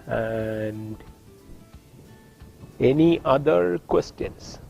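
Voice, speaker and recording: A man from India with an Indian accent, teaching a course and explaining technical points with frequent pauses and restarts in a classroom. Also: medium pitch, animated, gravelly, darkish tone, faint music behind. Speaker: course instructor. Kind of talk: classroom lecture.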